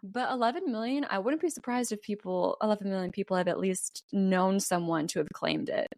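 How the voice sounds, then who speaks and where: A woman's voice: mid-range voice, a little fast, vocal fry, darkish tone, dry recording, studio quality. A woman co-hosting a podcast, retelling a story in a casual, conversational way with some dramatic emphasis. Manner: informal, conversational, dramatizes plot details. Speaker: podcast co-host.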